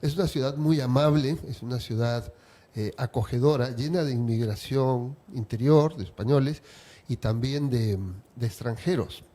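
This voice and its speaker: A man from Peru, a writer, answering interview questions in a reflective, unhurried way. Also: medium pitch, mellow. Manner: reflective, unhurried, with small restarts.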